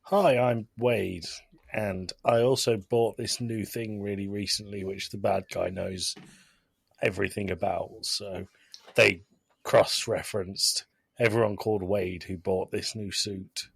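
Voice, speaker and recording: A man's voice: on the low side, steady tone, measured pace, vocal fry, breathy edge, no room sound. A man co-hosting a podcast, chatting casually with wry, sardonic jokes. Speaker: podcast co-host. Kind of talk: casual joking chat.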